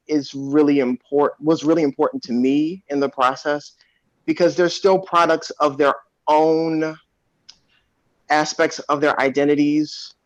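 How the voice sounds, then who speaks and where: A man speaking as an actor on a panel, reflecting in a measured, thoughtful way with pauses, over a video call. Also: on the high side, no echo. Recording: dull, dry video-call audio.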